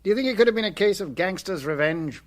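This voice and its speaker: An actor with a British accent playing an affable, brisk senior police officer in a radio drama. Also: male voice, high voice, animated. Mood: affably brisk. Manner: brisk, conversational authority. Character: affable, breezy male senior police superior.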